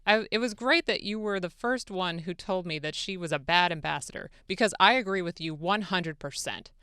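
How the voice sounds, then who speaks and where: A woman's voice: fairly low pitch, normal speed, pushed and bright, clean tone, dry recording, studio quality. A woman voicing an eager, deferential foreign president in a formal, polite dramatic reading. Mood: earnest, deferential. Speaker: radio show producer. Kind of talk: dramatic reading of a phone-call transcript. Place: radio studio. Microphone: close studio microphone.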